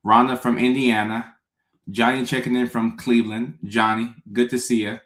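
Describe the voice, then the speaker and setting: A man's voice: medium pitch, level tone, moderate pace, a bit bright. A man from Ghana, a comedian, chatting casually with live-stream viewers, greeting them by name and often repeating himself. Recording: echoey room.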